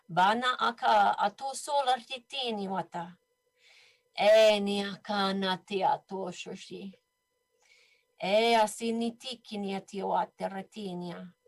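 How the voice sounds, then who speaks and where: A young adult woman on a video call, channeling a spiritual light language in a slow, soft, soothing way. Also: mid-range voice, steady tone. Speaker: guest healer. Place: indoor room at home.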